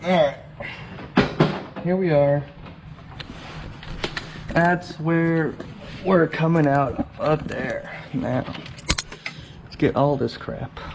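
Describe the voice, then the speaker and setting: A man's voice: on the high side, steady tone, very slow, vocal fry, pauses often, noisy background. A man casually narrating as he installs parts in a Jeep, muttering to himself and getting mildly frustrated. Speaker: DIY vehicle modder. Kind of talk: casual narration while working. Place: in and under a Jeep.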